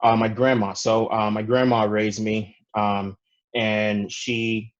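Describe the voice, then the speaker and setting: A young man from Ghana, telling his story conversationally as an interview guest, in a halting way with many fillers. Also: fairly low pitch, flat delivery, lo-fi sound. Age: young adult. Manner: halting, frequent "you know" fillers. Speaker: podcast guest, real estate investor.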